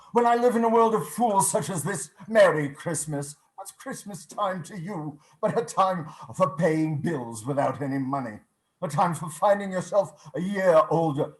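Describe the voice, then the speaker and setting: An actor playing a bitter, scornful old miser in a radio play, snapping and declaiming. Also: male voice, fairly high pitch, lively intonation, a little slow. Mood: scornful, indignant. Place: remote video call reading.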